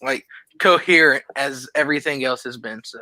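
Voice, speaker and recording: Brief: young woman in her twenties, low and bright-toned, slow and hesitant, dry sound. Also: dry recording. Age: 20s. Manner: soft, hesitant, many fillers like "like" and "um". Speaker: band member being interviewed. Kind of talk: casual interview answers.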